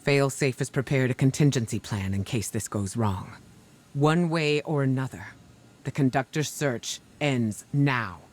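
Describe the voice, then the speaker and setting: An actor playing an earnest, resolute ally giving a serious, measured mission briefing in a video game. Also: male voice, mid-range voice, close to an everyday voice. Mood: resolute, grave.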